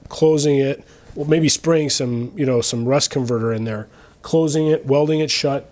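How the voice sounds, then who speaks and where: A man's voice: gravelly, darkish tone. A man hosting a garage vlog on a lapel mic, chatting casually and thinking aloud with many uhs and restarts. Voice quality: deep voice. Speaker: host of a garage restoration vlog. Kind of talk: casual talk to camera. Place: home garage workshop.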